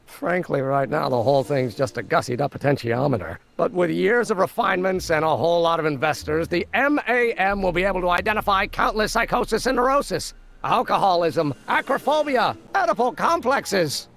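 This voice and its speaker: A man's voice: high-pitched, animated, fairly quick, vocal fry, a bit bright, full character voice. An actor playing an eager, earnest young male inventor who explains things in wordy, old-fashioned terms in a video game. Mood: grandly enthusiastic. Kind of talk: animated video game dialogue.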